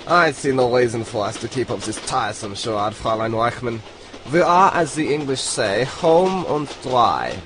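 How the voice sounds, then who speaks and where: An arrogant German spy, played by a man in a comedy audio drama, speaking in a mid-pitched voice with a German accent. He is pompous and stiffly formal, with clear train noise behind him. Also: expressive, moderate pace, close to an everyday voice. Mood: smug.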